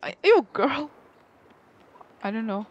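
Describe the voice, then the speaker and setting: Slow-paced, high-voiced woman gamer. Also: big pitch swings, dull audio, soft background music. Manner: thinks aloud in short reactions. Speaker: gaming video creator. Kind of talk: casual gameplay commentary.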